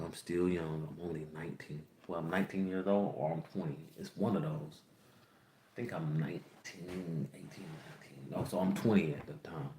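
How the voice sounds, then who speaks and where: A man's voice: very deep voice, darkish tone, roomy sound, a little hiss or hum. A young man in his twenties hosting a podcast, telling a candid personal story to his viewers, rambling and repeating lines. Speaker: podcast host. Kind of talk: personal storytelling to a livestream audience.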